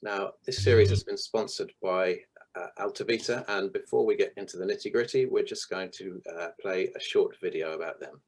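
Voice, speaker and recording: A man hosting an online panel over a video call, his voice hoarse and croaky, with frequent ums as he runs through the housekeeping. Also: low-pitched, fairly quick. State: hoarse, losing voice. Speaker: webinar host.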